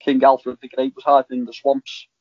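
A man talks casually as a podcast co-host, in a halting, rambling way. The voice is mid-pitched and very dry, on slightly dull audio. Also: completely flat delivery, no echo. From Liverpool, England. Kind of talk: casual chat reviewing TV shows.

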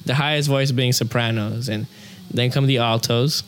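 A man's voice: mid-range voice, fairly even intonation, normal speed, a bit bright. Chatty young man, frequent "you know". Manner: rambling, many fillers like "you know" and "um". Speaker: opera singer, interview guest. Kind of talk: casual conversational interview.

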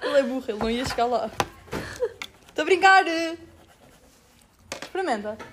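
A woman's voice: high voice, expressive, moderate pace, noisy background. A young woman bantering casually in a group chat.

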